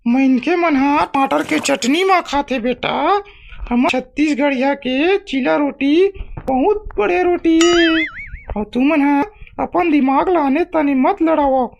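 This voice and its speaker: A woman's voice: high-pitched, takes it slowly, vocal fry, slight background noise, exaggerated voice. A woman voicing a doting, scolding yet patient village mother in a comedy cartoon, with a Chhattisgarhi accent. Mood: patient explaining. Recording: music behind the voice.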